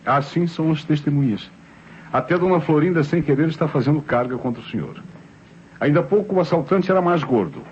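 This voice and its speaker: A man's voice: a little high, a little fast, a little mellow, lightly acted. A Brazilian man playing a sly, ironic police inspector in an old radio drama, courteous and teasing, repeating himself. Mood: ironic, insinuating. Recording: muffled vintage radio recording, faint noise.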